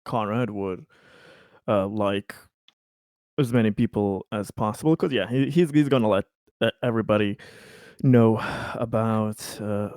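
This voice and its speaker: A man playing a tabletop roleplaying game, narrating his character off the cuff in a halting, stumbling way. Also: lowish voice, darkish tone, no echo, studio quality.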